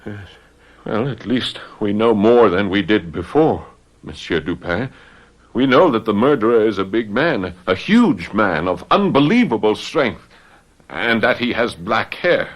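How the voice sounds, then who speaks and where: An actor playing a distraught young French gendarme in a radio mystery drama, stammering and agitated in a melodramatic way. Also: male voice, on the low side, lively intonation, a little slow, poor audio. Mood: relieved, anxious. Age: young adult.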